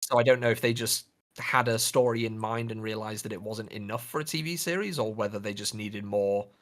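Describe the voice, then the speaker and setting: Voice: mid-range voice, fairly quick, a bit bright. A podcast co-host chatting casually in a playful, rambling way. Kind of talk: casual chat.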